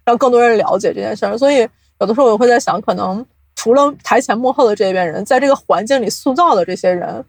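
A woman's voice: fairly high pitch, fast talker, hardly any pauses, no echo. A woman speaking casually as an interview guest, thinking aloud and explaining how her industry works. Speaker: guest, audio drama producer and company chief. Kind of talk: casual interview talk about the audio drama industry.

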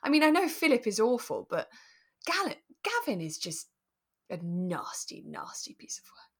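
A woman co-hosting a podcast, talking in a relaxed, thoughtful and explanatory way about a drama. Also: fairly high pitch, normal speed, breathy edge. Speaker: podcast co-host. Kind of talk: relaxed discussion of a radio drama.

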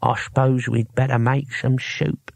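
A man voicing a gruff old barbarian adventurer in a storybook, with a husky, slurred, toothless mumble and blunt colloquial talk. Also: medium pitch, level tone, measured pace, no room sound. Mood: irritable, resigned.

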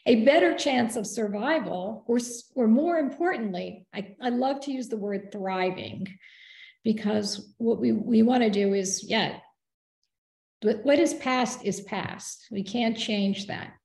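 A woman speaks in a gravelly, mellow tone, losing her voice and clearing her throat often. She talks earnestly and steadily, and her voice rings out in a roomy, echoing space. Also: fairly high pitch, a little slow. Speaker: guest. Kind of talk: conversational interview.

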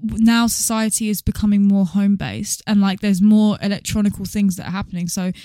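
A young British woman speaks at a brisk clip in a medium-pitched voice, recorded very dry. She chats casually as a podcast co-host, animated and full of slang. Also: dry recording.

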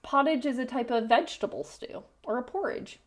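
A woman's voice: on the high side, a little fast. A woman co-hosting a podcast, reading a folktale aloud with casual, irreverent and sweary asides.

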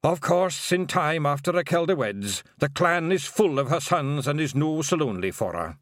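A grave old pixie bard speaks in a slow Scottish lilt, as a man puts him on. His voice rises and falls with sorrowful weight. The sound is dry and close. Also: fairly high pitch, sing-song, no room sound, voice pushed up. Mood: solemn. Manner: slow, solemn and lilting.

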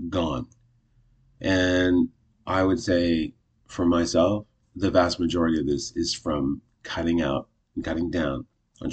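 Husky low-voiced man in his fifties. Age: 50s. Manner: rambling, self-correcting, upbeat. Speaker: vlogger. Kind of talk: casual talk to camera.

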